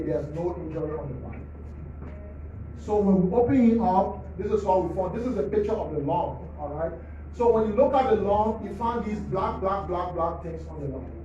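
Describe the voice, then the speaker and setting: A man's voice: sits high, warm tone, slight background noise. A man, a forensic pathologist at a press briefing, walking the press through slides like a teacher and checking "all right?" after each point. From Liberia. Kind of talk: explaining autopsy slides to the press. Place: government press briefing hall. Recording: distant mic, echoey muffled hall.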